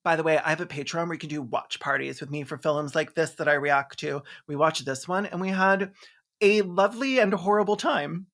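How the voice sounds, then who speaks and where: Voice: mid-range voice, fairly quick, a bit bright. A video host giving sarcastic, mocking movie commentary in an incredulous, campy and slangy way. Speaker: video host, film reviewer.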